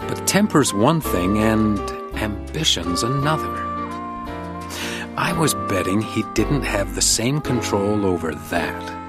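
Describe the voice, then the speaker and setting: A man's voice: lowish voice, expressive, slow pace, loud music behind the voice. A dry, terse male house detective. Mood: wry, reflective. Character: male hotel house detective, blunt, loyal ex-drunk. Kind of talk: radio detective drama acting with noir narration.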